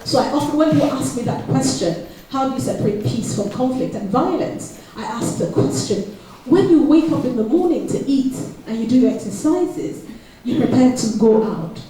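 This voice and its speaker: A woman's voice: high-pitched, lively intonation, moderate pace, crowd noise. A woman with a Nigerian accent giving an explanatory public speech as an author at an event. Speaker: author speaking at book launch. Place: event hall. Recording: very echoey hall, distant mic.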